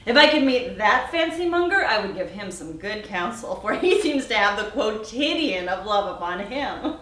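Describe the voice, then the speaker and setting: A young woman performing a witty, spirited Shakespearean heroine in a theatrical, animated and emphatic monologue. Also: mid-range voice, moderate pace. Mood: playful, teasing. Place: friend's warehouse. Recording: echoey warehouse, distant mic.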